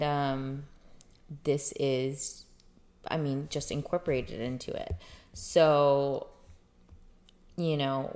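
A woman in her thirties with a low-pitched voice co-hosts a casual podcast. She teases and giggles a lot, filling her talk with "like" and "um.". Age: 30s. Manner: teasing, giggly, lots of fillers. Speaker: podcast co-host. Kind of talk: casual chat.

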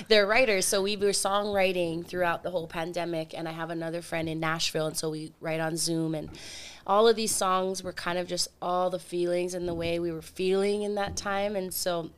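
A woman's voice: lowish voice, flat delivery, moderate pace, clean tone. A woman from Hawaii, a singer-songwriter guest in a casual interview, telling her story with fillers and restarts.

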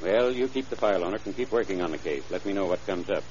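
An actor playing a steady, practical police chief, matter-of-fact and authoritative, in a vintage radio crime drama. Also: male voice, sits low, quick pace. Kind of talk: 1930s-40s crime radio drama acting. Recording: muffled old radio recording, faint hiss.

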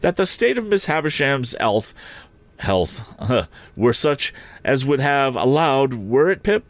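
A man voicing a simple, earnest working man who speaks in halting, roundabout formal phrases. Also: mid-range voice, big pitch swings, no echo, voice pushed up. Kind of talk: audiobook character voice.

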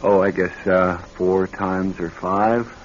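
An actor in a radio drama playing a nervous young married executive troubled by bad dreams, speaking in a halting, earnest way. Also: male voice, low-pitched, little pitch movement, a little hiss or hum. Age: young adult. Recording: dull band-limited old radio recording.

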